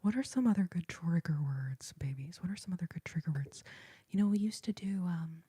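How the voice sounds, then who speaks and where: A woman's voice: lowish voice, expressive, brisk, mellow, breathy edge. A young woman whispering to her livestream viewers during an ASMR stream, greeting them with pet names, close to a phone mic. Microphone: phone held close.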